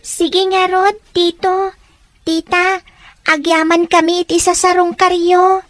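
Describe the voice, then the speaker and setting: Radio play niece, super high sweet voice, polite and eager. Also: female voice, flat delivery, takes it slowly, a bit bright, no room sound, full character voice. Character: young woman visiting her aunt, uncle and father. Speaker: radio drama actress.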